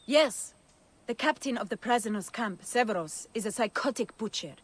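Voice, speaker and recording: An actress playing a fierce, bitter rebel fighter in a video game cutscene. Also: female voice, a little high, lively intonation, normal speed, slightly rough. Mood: bitter, angry. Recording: faint outdoor ambience noise.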